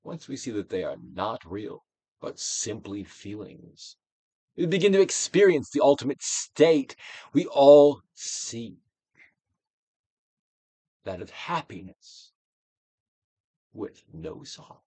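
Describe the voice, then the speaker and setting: A man giving a dramatic, emphatic audiobook reading of a self-help book, moving between loud declarations and hushed phrases. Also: mid-range voice, big pitch swings, slow pace, pauses often, husky, dry recording. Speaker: actor reading a book aloud.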